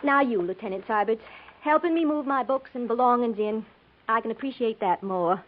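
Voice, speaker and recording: An American actress playing a chatty, easily riled young schoolteacher bride with folksy, earnest speech in a 1950s radio drama. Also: female voice, on the high side, normal speed, natural voice. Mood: fretful, chatty. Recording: tinny, narrow-band 1950s radio recording.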